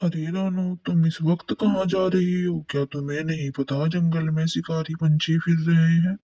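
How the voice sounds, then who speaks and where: Lady doing a hurried crow guy, lowered voice, warm and muffled, kinda far off. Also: steady tone. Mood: urgent. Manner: urgent, warning. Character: friendly male crow, hurried and worried. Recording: dull, muffled, from off-mic.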